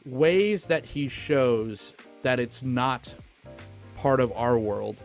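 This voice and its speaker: A man's voice: medium pitch, expressive, extremely slow, soft background music. A man co-hosting a podcast, talking casually about films in a chatty, enthusiastic, sweary way. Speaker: podcast co-host. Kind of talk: casual film discussion.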